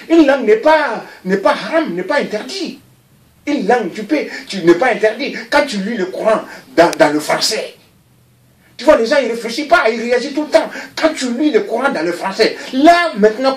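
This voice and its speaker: A man, giving a recorded interview and talking in an animated, rambling way, often repeating himself. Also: extremely high pitch, reverberant, recorded from a distance. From Mali.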